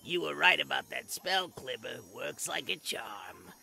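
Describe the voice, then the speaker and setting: A man's voice: mid-range voice, big pitch swings, unhurried, creaky, pushed and bright, heavily acted. A man voicing a small, cocky male fairy outlaw with a sly, mocking manner in an amateur fantasy audio drama.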